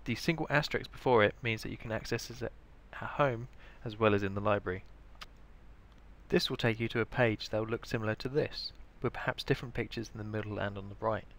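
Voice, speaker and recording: British male tutorial presenter, lowish gravelly voice, reading a scripted how-to. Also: quick pace, fairly bright tone. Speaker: information librarian, tutorial presenter. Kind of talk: scripted instructional narration.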